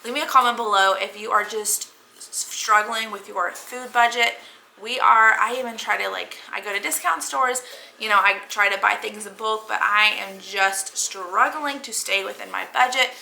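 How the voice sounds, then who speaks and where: A hoarse woman in her thirties hosting a casual cooking vlog, chatty and full of ums and likes. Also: mid-range voice, normal speed, bright, forceful tone, slight background noise, low-quality recording. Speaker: cooking channel host.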